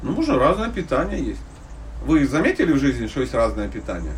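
A man's voice: mid-range voice, very animated, quick pace. A man from Ukraine giving an informal religious lecture to a group, conversational and blunt, with slangy wording and humorous illustrations. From Dnipropetrovsk, Ukraine. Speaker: spiritual teacher giving a lecture. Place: live talk to a listening group. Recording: distant microphone, faint background noise.

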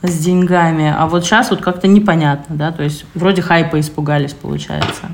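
A woman in a casual discussion, talking informally and haltingly as she searches for words. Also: sits low, steady tone, gravelly. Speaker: discussion participant. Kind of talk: casual chat.

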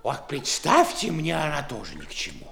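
An actor playing a blustery, bossy seal with a fussy manner in a children's audio play. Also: male voice, on the high side, wildly swooping pitch, normal speed, gravelly, a bit bright, heavily acted. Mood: bossy, scolding. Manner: blustering, fussy, stammering when flustered. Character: blustery bossy seal, turning sheepishly polite. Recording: noisy vintage studio recording.

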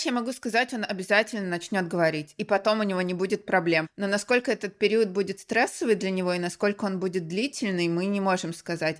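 A woman guest on an interview podcast, a teacher of English, telling her story in a lively, conversational way with frequent fillers. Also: mid-range voice. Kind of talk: casual interview talk.

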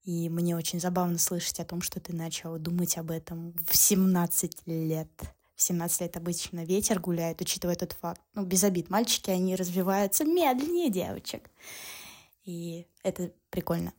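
A woman in her twenties chatting casually as a podcast co-host, laying out her views in measured steps. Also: on the low side, a little mellow. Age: 20s.

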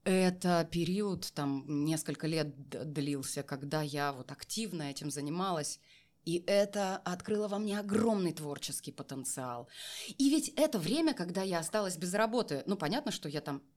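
Low-pitched woman, reflective and emphatic. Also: animated, moderate pace, a little mellow. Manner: reflective, emphatic, repeats phrases for weight. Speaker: interview guest, voice artist and former TV and radio presenter. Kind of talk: candid personal storytelling in an interview.

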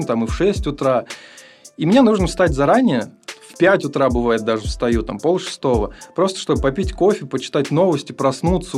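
A man's voice: on the high side, a little mellow. Hesitant man of almost thirty. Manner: earnest, hesitant, many fillers. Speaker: podcast guest. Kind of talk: casual interview.

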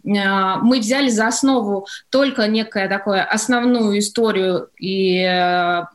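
A woman answering as a guest in a studio radio interview, measured and hesitant, often qualifying her phrasing. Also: medium pitch.

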